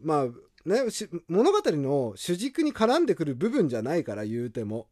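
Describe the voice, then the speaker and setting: Animated man chatting casually, lively pitch swings. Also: moderate pace, no room sound, studio quality. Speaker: guest, original author of a radio drama. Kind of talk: casual behind-the-scenes chat.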